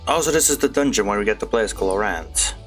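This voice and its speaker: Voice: mid-range voice, expressive, fast talker, low-quality recording. A streamer giving casual, chatty and playful commentary while playing a video game. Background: faint game music.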